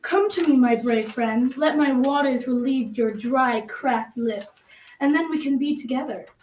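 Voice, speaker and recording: A woman's voice: high voice, darkish tone. A young female student playing an alluring, lonely water spirit in an amateur school radio drama, calling in a beckoning way. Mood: luring. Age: child or teen. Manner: beckoning, drawn-out. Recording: echoey, distant mic, faint noise.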